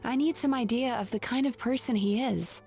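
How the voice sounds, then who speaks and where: A woman playing a composed, analytical young female therapist in a video game, speaking in a measured, explanatory way. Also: on the high side, darkish tone, soft background music, close to an everyday voice. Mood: concerned. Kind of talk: video game dialogue acting.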